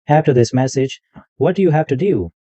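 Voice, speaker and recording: A man hosting a screen-recorded software tutorial, narrating every click step by step in loose, repetitive wording. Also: medium pitch, animated, brisk, no room sound. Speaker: tutorial host. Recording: dull lo-fi dry mic sound.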